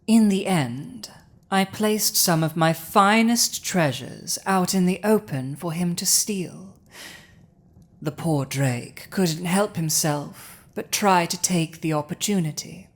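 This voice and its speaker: A woman playing a proud, dominant dragon ruler in an intimate audio roleplay, with a languid, haughty, theatrical delivery and dramatic pauses. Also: on the low side, expressive, exaggerated voice. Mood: menacing storytelling.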